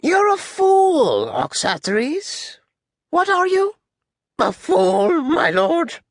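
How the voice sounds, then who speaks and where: A man voicing a mocking, imperious warlord with a high-pitched, grating voice, in an audiobook style. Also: huge pitch swings, extremely slow, dry recording, clean studio sound, heavily acted. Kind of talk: audiobook character acting.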